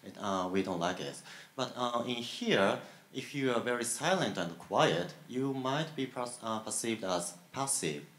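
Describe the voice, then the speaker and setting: A young man with a Japanese accent on a graduate student panel, speaking haltingly with many fillers and pauses. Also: mid-range voice, animated, a bit bright. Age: young adult. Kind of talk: panel talk. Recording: echoey room.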